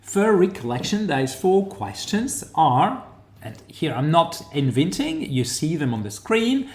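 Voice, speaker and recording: Slow, halting New Zealand man lecturing. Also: on the high side, very animated, echoey room. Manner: halting, pauses to find words, explanatory. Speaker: academic lecturer. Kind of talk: screen-share tutorial lecture.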